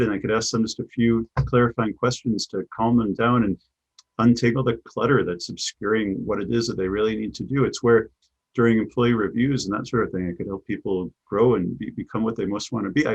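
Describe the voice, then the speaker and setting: A man's voice: fairly low pitch, breathy edge, poor audio. A middle-aged man with a Chicago accent, answering interview questions in a thoughtful way, often repeating words as he restarts. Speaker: guest, business coach.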